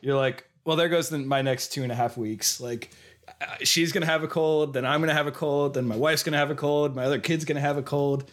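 A man's voice: a little high, steady tone, brisk, fairly bright tone. American man with a cold, chatting casually. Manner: rambling, jokey, conversational. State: has a cold, losing voice. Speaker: podcast co-host. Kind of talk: casual film discussion.

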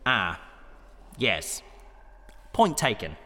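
Casting: actor as pedantic, courteous male scientist-adventurer for a sci-fi radio play, animated delivery, echoing cave sound. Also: fairly low pitch, extremely slow, full character voice. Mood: mildly excited. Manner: hesitant, polite, pedantic. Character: eager, bookish male researcher explorer, polite and mild-mannered. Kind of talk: sci-fi audio drama acting. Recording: long cave reverb, faint noise and ambience.

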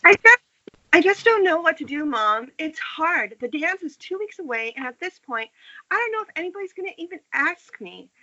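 A woman's voice: extremely high pitch, sing-song, normal speed, pushed and bright, full character voice. A woman reading a dramatic part in an amateur screenplay table read over an internet call. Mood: dejected, whiny. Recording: dull, lo-fi internet call audio.

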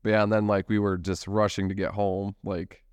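A young man with a low, dry-sounding voice, casually telling a meandering story to friends. He laughs easily as he talks. The sound is close and dead, with no room around it. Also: level tone, brisk, a little mellow, clear, resonant tone, no room sound. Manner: rambling storyteller, laughs often. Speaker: podcast co-host. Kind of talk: casual chat.

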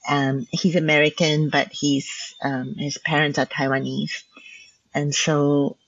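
A choreographer whose first language is Hokkien, speaking in a reflective conversation over a video call, thoughtful and halting, with many restarts. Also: female voice, low voice, little pitch movement, vocal fry, breathy edge. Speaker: choreographer and teacher. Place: home in Vancouver. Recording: dull lo-fi video call audio.

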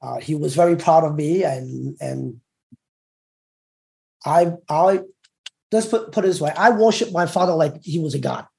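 A middle-aged man from Brooklyn speaks with a slight Cantonese edge to his New York English. His voice sits fairly high with a gravelly fry, and he talks in halting bursts full of fillers and restarts. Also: a little mellow. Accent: Cantonese-tinged New York English. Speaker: interview guest, former gang member. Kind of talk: candid interview storytelling.

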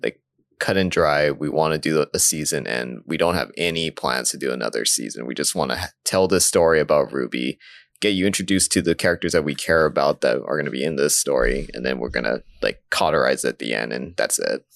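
A man with an extremely deep voice talks casually as a podcast co-host. He feels his way through his thoughts a little hesitantly. The sound is dry and close. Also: fairly quick, gravelly, a bit bright, no echo. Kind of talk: casual discussion.

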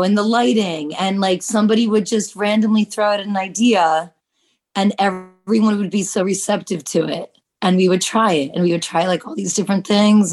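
A woman chatting casually and earnestly in a roundtable, gushing and peppering her talk with "like" and "you know". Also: medium pitch. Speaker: podcast team member and short film actor.

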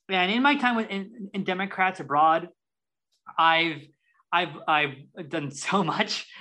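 A young man in his twenties from Ohio speaks as a podcast guest. His voice is bright and forward, and he talks eagerly, restarting his sentences often. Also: fairly high pitch. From Ohio, United States. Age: 20s. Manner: eager, chatty, with frequent restarts. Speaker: guest, youth caucus chair. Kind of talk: casual interview talk.

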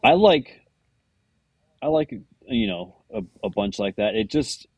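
A man's voice: medium pitch, very animated, measured pace, vocal fry, a little mellow, slightly rough, dry recording. A man guest on a music podcast, talking candidly with hesitant restarts. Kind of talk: casual music chat.

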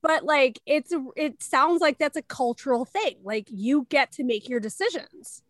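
A high-pitched woman talks in a chatty, joking way, full of casual fillers. Her voice sounds dry and close. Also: lively intonation, unhurried, dry recording. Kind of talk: casual podcast conversation.

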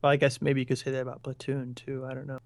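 A man's voice: mid-range voice, monotone, fast, gravelly, no echo. A man in his thirties co-hosting a podcast, talking through films in a thoughtful, hedging way and asking probing questions. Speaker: podcast co-host. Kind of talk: casual film discussion.

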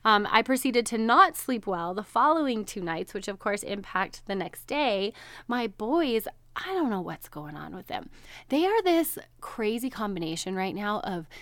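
A woman's voice: mid-range voice, very animated, moderate pace, a bit bright, no echo. A woman in her thirties hosting a solo podcast, chatty and bubbly, laughing often, her voice nasal and stuffed up from allergies. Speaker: podcast host, registered dietitian. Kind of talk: casual solo podcast chat.

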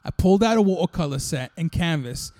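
High-pitched podcast dude riffing a ridiculous made-up spy story, dry close sound, keeps chuckling. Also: male voice, animated, brisk, mellow, no room sound. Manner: breezy mock-earnest narration, chuckling. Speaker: podcast co-host. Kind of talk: improvised comic storytelling.

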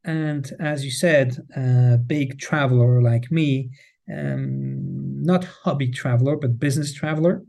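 A middle-aged Swiss man whose first language is French talks English in an interview, his voice mellow and relaxed at an ordinary pitch. He often repeats a word while he searches for the next one. His French accent colours every line. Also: a little slow, gravelly. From Switzerland. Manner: restarts and repeats words, passionate emphasis. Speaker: guest, cheese marketing head. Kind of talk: podcast interview. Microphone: remote, on the road.

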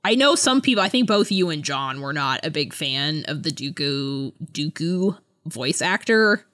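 Animated, unhurried woman podcast co-host. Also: low-pitched. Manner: giggly, animated, halting. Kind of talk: casual book review chat.

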